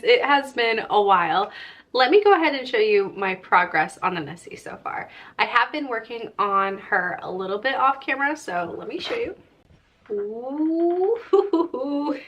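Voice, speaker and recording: Young female vlogger, fairly high voice, informal and chatty, speaking straight to viewers. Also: a bit bright. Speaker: crochet vlogger and host. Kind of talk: casual chat to viewers.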